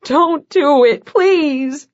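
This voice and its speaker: A woman's voice: high-pitched, a little slow, dry recording, pitched above the performer's own voice. A woman voicing a tearful young man who begs frantically in an audiobook. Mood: pleading. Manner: begging, frantic. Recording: dull lo-fi dry audio.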